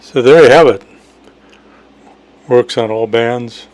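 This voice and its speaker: A man's voice: mid-range voice, slow pace, a little mellow. A man giving a casual, enthusiastic product demonstration in short plain phrases, as an amateur radio operator. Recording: noisy, radio static and hum.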